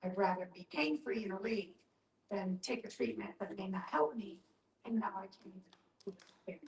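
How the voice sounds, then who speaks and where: A woman's voice: medium pitch, moderate pace, far from the mic. A woman in a conversational group discussion, explaining things and often voicing example questions aloud. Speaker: panel speaker on end-of-life care. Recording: echoey room.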